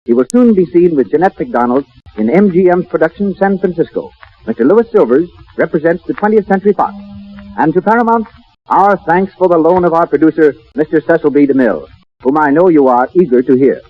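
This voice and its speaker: A man's voice: on the high side, expressive, brisk. A man making formal announcements in the style of 1930s American radio. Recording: dull old 1930s radio broadcast.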